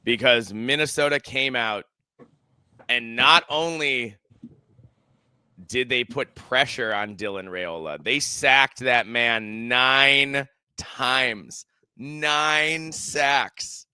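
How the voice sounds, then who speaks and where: A man in his thirties talks football on a home podcast, his voice bright and crisp despite the strain from yelling at a game. He piles on stats with growing excitement. The sound is close and dry. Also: medium pitch, extremely slow, dry recording. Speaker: podcast co-host. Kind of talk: casual sports chat. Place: home room, indoors. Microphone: headset with studio mic.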